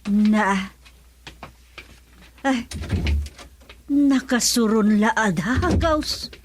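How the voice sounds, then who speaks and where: A woman's voice: fairly high pitch, drawn-out words, heavy background noise. A woman acting a homely, questioning village chairman's wife in an Ilocano radio comedy drama, with an Ilocano Filipino accent. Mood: homely concern.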